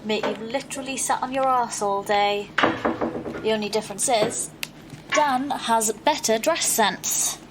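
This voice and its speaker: A woman's voice: fairly high pitch, a little slow, heavy background noise, lightly acted. An actress playing an exasperated, wry young woman, narrating and talking in an audio drama. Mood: weary, teasing. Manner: dry, sarcastic.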